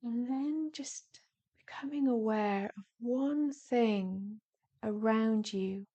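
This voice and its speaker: A woman speaks very slowly in a dark, mellow tone as she guides a deep relaxation. Her delivery is gentle and soothing, with soft, breathy phrase endings. She leaves long pauses between short phrases. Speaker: yoga nidra meditation guide.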